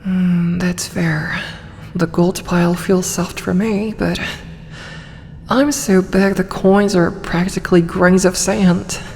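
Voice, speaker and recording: A woman playing a huge, possessive, flirty dragoness in an intimate ASMR roleplay, coaxing and teasing softly, often slipping toward a whisper. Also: lowish voice, steady tone, slow pace, slight background noise. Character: huge tsundere female dragon, possessive, flirty, doting captor. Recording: long cavernous reverb.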